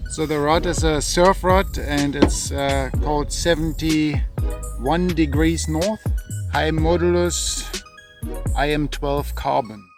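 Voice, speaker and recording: A man's voice: a little high, fairly even intonation, takes it slowly, music in the background. A man in his thirties from Germany with a German accent, casually reviewing gear to camera as a channel host in an informal, conversational way. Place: outdoors on an open beach by the water.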